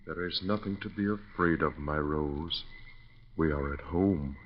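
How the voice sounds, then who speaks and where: An actor playing an undying, lovelorn phantom suitor in an old radio horror play, courtly and portentous, pleading with obsessive menace. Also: male voice, a bass voice, a little slow. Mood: courtly, coaxing. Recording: muffled, noisy vintage radio recording.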